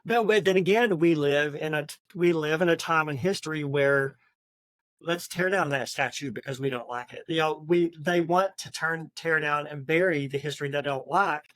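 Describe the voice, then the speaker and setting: A woman's voice: deep voice, moderate pace, dry recording. A woman talks informally as co-host of a book discussion podcast. Her delivery is conversational and unscripted. The recording is dry, without audible room reflections.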